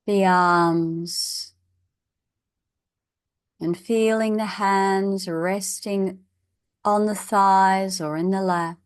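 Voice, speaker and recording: Slow-paced woman guiding meditation. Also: mid-range voice, fairly even intonation, clear, resonant tone, no echo, studio quality. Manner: measured, instructional, with long pauses. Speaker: meditation teacher. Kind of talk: guided meditation.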